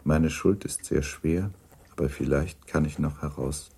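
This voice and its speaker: An actor as the sober, restrained narrator of a period novel in a radio drama, measured, grave and understated. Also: male voice, extremely low pitch, steady tone, gravelly, mellow, husky, exaggerated voice.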